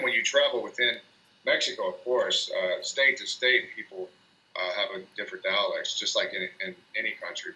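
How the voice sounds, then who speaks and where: A man's voice: sits low, sharp tone, roomy sound, poor audio. An American man who works as an English tutor, chatting casually and hesitantly, with frequent restarts and fillers. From United States. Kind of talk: casual conversation.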